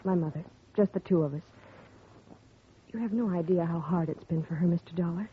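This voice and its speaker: A grieving young daughter, played by a woman, recounts events softly in a lowish voice. The sound is thin and tinny, like an old phone line, with faint hiss, as on 1950 radio. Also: fairly quick, lightly acted. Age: young adult. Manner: soft and earnest, recounting quietly. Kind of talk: 1950s radio drama acting.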